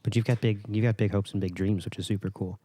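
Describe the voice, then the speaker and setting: Male podcast host, lowish voice, casual and encouraging, thoughtful halting phrasing, very dry close sound. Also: fairly even intonation, warm tone, dry recording. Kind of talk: casual interview chat.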